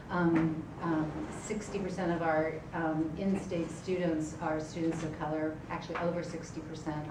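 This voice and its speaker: A woman directing a college writing center, introducing her campus at a conference panel in a relaxed way with many pauses and ums. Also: sits low. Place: conference room. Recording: echoey room, distant mic, background noise.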